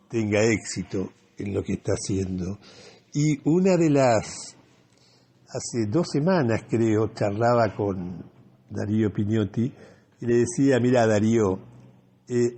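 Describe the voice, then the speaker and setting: Male radio commentator, Rioplatense accent, lowish husky voice, deliberate and opinionated editorial delivery. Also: mellow. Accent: Rioplatense Argentine. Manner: opinionated, deliberate, with emphatic repetition and rhetorical questions.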